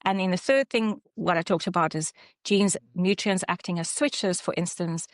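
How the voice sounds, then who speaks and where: South african lady clinician on a webinar call, kinda low voice, very dry sound, lots of ums and restarts. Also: no echo. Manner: halting explanation with fillers and self-corrections. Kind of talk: online webinar presentation.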